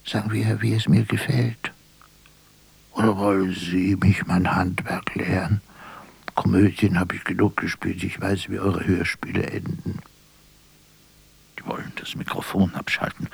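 An actor playing a brooding, defiant poet in a radio play, halting and dramatic, with a gravelly, breathy whisper. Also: male voice, low-pitched, heavily acted. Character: male poet Fernando Pessoa, brooding, theatrical, defiant radio caller.

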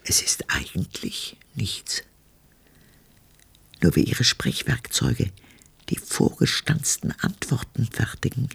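An actress playing a very old, bitter and sardonic woman in a radio drama monologue, with a husky, gravelly voice and brooding, biting diction. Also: rumbling low, big pitch swings, slow, long pauses, no room sound, whispered, exaggerated voice. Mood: scornful. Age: older.

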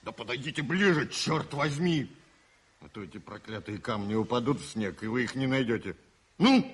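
An actor playing a worldly, self-mocking adventurer in a radio play, theatrical and teasing, with a husky voice. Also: male voice, medium pitch, animated, normal speed. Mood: urgent, angry. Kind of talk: 1980s radio play acting.

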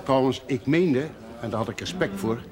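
An actor playing a stern, dignified middle-aged father-in-law, speaking formally and in measured phrases in a television drama. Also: mid-range voice, expressive, natural voice. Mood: solemn. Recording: busy crowd of voices behind.

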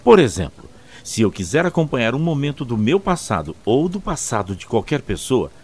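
A man's voice: medium pitch, very animated, normal speed, a little mellow, slightly rough. A man with a Brazilian accent playing a learned alien Time Lord who explains ancient lore like a storyteller in an audio drama. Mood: explanatory. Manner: expository, storyteller-like. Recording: faint background noise.

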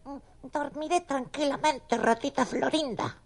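A woman's voice: sits high, unhurried. A woman voicing a sweet, eager, bashful male mouse with a hesitant, gushing delivery in a children's audio story. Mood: bashful, smitten.

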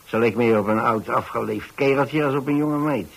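A man's voice: medium pitch, normal speed, vocal fry, rough recording. An older man, a radio actor playing a kindly retired man who tells his story in a hesitant, rambling, conversational way. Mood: concerned. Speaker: radio actor, narrating lead.

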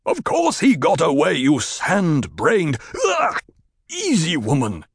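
A man doing a bellowing gruff desert chieftain. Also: fairly high pitch, wildly swooping pitch, slow pace, dry recording, clean studio sound, voice pushed up, heavily acted. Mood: roaring. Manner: bellowing insults.

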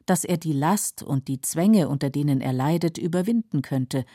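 A woman narrating a scripted literary radio feature in a measured, explanatory manner. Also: low-pitched, animated, warm tone, no echo, clean studio sound. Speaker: documentary narrator.

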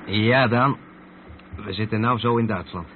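An actor with a Netherlands Dutch accent playing an experienced long-haul trucker who patiently explains things in a radio play. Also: male voice, lowish voice, a little slow. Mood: patient, explaining. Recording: muffled old radio play, vehicle noise.

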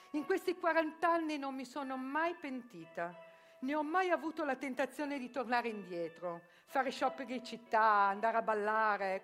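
A woman's voice: high voice, a bit bright. An actress playing an older Albanian sworn virgin who lives as a man, telling her story in a proud, matter-of-fact theatre monologue. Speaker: actress performing a theatrical reportage.

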